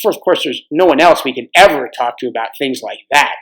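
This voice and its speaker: A man's voice: medium pitch, expressive, brisk, a bit bright, voice pushed down, poor audio. A man voicing a chatty, eager schoolboy in a storybook, explaining things informally.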